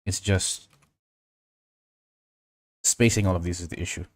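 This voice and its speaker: Low-voiced man casually narrating his livestream. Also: fairly even intonation, unhurried, no room sound. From Indonesia. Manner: thinking aloud, reading out and answering chat. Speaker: livestream host. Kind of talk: casual livestream tutorial chat while working.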